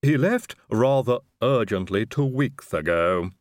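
A man voicing a calm, stitched-together male manservant who speaks slowly and oddly politely. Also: mid-range voice, no echo, clean studio sound, natural voice. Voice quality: slow, unhurried, oddly polite. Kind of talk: audiobook character voice.

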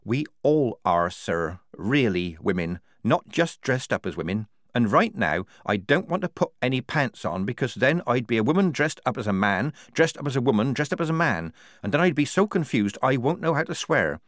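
A man's voice: mid-range voice, big pitch swings, moderate pace, few pauses, fairly bright tone, no echo, voice pushed up. A man voicing a young woman soldier for an audiobook, dry, plainspoken and worn out. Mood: exasperated. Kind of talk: audiobook character voice.

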